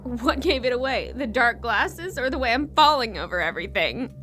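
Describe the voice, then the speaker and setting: An actress as a blind woman trapped in a horror drama, her voice high and very lively in pitch. She is scared but sharp-tongued, tense and quick to turn sarcastic. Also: soft background music. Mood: dry, sarcastic. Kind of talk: audio horror drama acting.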